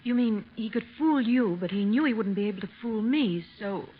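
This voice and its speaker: An actress playing an earnest young niece in a 1950s American radio drama. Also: female voice, mid-range voice, expressive, moderate pace, husky. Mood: assertive. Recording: tinny, narrow old radio recording.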